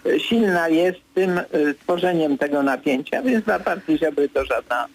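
An older man, a political commentator, answering as a guest in a radio studio interview, hesitant with many fillers, then firm and brisk. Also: a little high, gravelly, poor audio.

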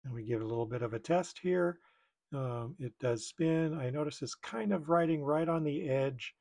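A man's voice: a little high, moderate pace, a little mellow. A middle-aged man giving casual hands-on tutorial commentary, thinking aloud in a tentative way. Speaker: repair video maker.

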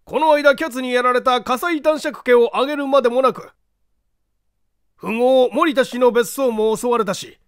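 A man's voice: very high-pitched, normal speed, clear, resonant tone, no room sound, studio quality, voice pushed up. A man voicing a courteous, anxious lord of a castle in a mystery audiobook, speaking formally and theatrically. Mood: grave, explanatory. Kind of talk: dramatized Japanese mystery audiobook reading.

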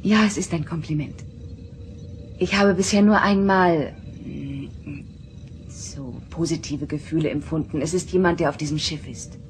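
A woman playing a composed young woman, lowish voice. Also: normal speed, slight background noise, natural voice. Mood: gentle. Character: composed, dutiful young half-alien woman, exasperated daughter. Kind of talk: dubbed sci-fi audio drama acting.

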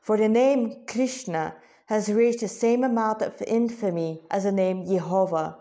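A woman voicing a calm, consoling male god in a story, with a gentle, measured manner. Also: mid-range voice, close to an everyday voice. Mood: hushed, grumbling.

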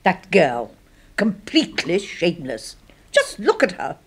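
A woman's voice: fairly low pitch, wildly swooping pitch, a little slow, husky, full character voice. A woman playing a severe, prudish middle-aged spinster secretary in a radio horror drama, clipped and censorious in manner. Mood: scornful disgust.

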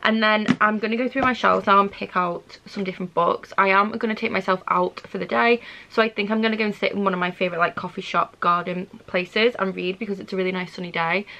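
A woman's voice: mid-range voice, fairly even intonation, fast, creaky, few pauses, pushed and bright. A woman, chatting casually for a book vlog and thinking aloud in an indecisive, rambling way. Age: 20s. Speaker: book vlogger. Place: small furnished room at home.

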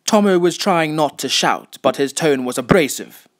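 A man playing a wry, taunting narrator who is a voice in someone's head, delivering deliberate scripted dramatic narration. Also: a little high, lively intonation, fairly bright tone. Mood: mocking suspense. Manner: wry, deliberate, mocking storytelling.

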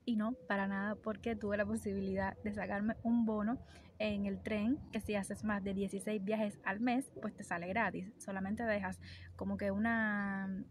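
A woman's voice: mid-range voice, little pitch movement, moderate pace. A young Cuban woman with a Cuban Spanish accent, chatting enthusiastically to her phone camera outdoors. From Cuba. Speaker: vlogger. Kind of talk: casual vlog talk to camera. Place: outdoors by trees on a street. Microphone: phone camera held at arm's length. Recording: outdoor street noise behind.

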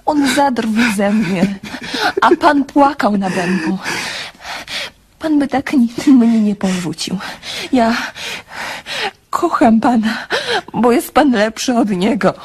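A woman acting a tender, impulsive young woman in love in a radio play, with an emotional, halting delivery. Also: fairly high pitch, expressive, a little mellow, husky, full character voice. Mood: tearful, tender.

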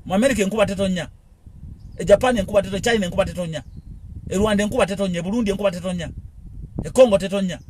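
A man's voice: sits high, a little mellow, a little hiss or hum. A man with a Ugandan accent giving an impassioned political monologue, repeating phrases and firing rhetorical questions. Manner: rapid, repetitive, rhetorical questions. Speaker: political commentator.

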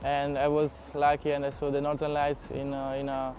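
A man's voice: fairly high pitch, monotone, moderate pace, a little mellow, a little hiss or hum. A young man with an Italian accent, speaking enthusiastic broken English in a documentary interview outdoors. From Italy. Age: young adult. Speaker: exchange student. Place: outdoors in a city square.

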